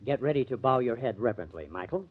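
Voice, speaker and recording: An actor playing a glib, smitten, self-important small-time press agent in a 1950s radio drama. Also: male voice, medium pitch, animated, fast, natural voice. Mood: smitten, smug. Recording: dull lo-fi old radio recording.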